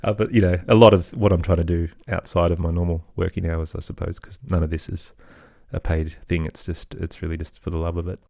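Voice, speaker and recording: A man's voice: low-pitched, little pitch movement, brisk, gravelly, hardly any pauses, a little mellow, no echo, clean studio sound. A man with an Australian accent, chatting casually as an interview guest about his work. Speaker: interview guest, children's podcast creator.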